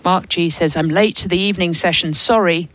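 A woman's voice: on the low side, brisk, fairly bright tone, a little hiss or hum. A woman co-hosting a sports show, reading out listeners' messages with casual, playful banter. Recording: music playing behind, outdoor venue. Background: DJ music at outdoor venue.

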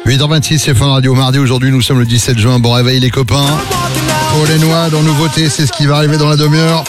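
A man's voice: mid-range voice, little pitch movement, quick pace. A man hosting a morning radio show, telling stories in a chatty way with many hesitations. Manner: chatty storyteller, hesitant fillers. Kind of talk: casual radio banter. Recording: radio broadcast with faint music bed.

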